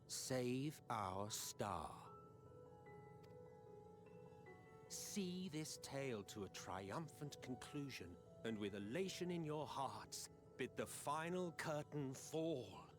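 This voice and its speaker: A proud, theatrical fallen villain, slow-spoken. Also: male voice, medium pitch. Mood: proud, solemn. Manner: grandiloquent, measured, disdainful. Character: proud, theatrical, defeated male villain, imperious and wry. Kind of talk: dramatic video game acting. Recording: orchestral music under the voice.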